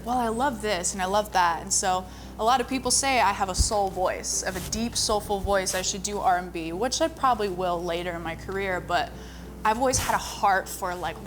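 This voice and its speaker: A young woman, a singer and model, talking casually about her music with lots of "like" fillers. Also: medium pitch. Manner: chatty, frequent "like" fillers. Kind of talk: casual personal storytelling. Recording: music playing underneath.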